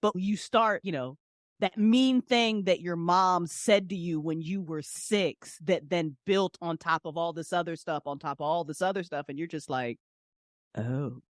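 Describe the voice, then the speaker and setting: A woman's voice: on the low side, unhurried, dry recording. Frank, informal woman in a dry recording. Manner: informal, frank, emphatic, encouraging. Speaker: podcast guest, writer. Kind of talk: casual chat.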